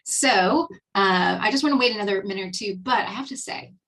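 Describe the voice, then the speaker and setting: Husky Texan woman hosting a webinar. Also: medium pitch, a little fast, fairly bright tone, far from the mic, rough recording. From Texas, USA. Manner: chatty and upbeat, with many fillers and restarts. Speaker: webinar host and skincare presenter. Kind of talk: casual webinar presentation.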